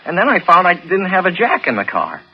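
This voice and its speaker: An actor playing a nervous, polite young boyfriend who hesitantly explains himself in a 1960s radio play. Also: male voice, high voice, wildly swooping pitch, a little fast, slightly rough, exaggerated voice. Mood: earnest, apologetic. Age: young adult. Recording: muffled old radio broadcast recording.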